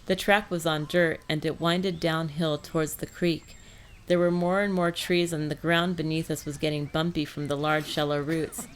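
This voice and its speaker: A woman's voice: deep voice, little pitch movement, moderate pace, clean tone. A woman reading scripted first-person fiction as an audiobook narrator.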